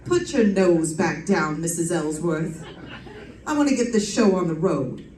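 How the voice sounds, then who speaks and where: A woman's voice: on the low side, expressive, a little slow, darkish tone, slight background noise. An actress playing a wisecracking young woman private detective in an old-time radio drama, with wry, breezy delivery. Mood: cool, businesslike. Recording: roomy distant stage recording with music.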